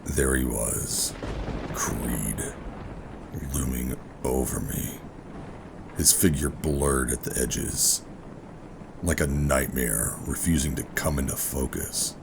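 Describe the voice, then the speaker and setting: Very deep, gravelly brooding operative. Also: male voice, noisy background, full character voice. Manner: brooding, dramatic, deliberate. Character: bitter, defiant rogue sci-fi operative, hardened and wounded. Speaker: narrator and lead character. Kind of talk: noir first-person audio drama narration and dialogue. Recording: dramatic music behind the voice.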